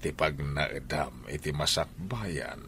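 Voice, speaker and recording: A man's voice: very deep voice, slow pace, fairly bright tone, breathy edge, exaggerated voice. An actor playing a stern husband who lectures his wife and household. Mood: lecturing. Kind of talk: radio drama acting.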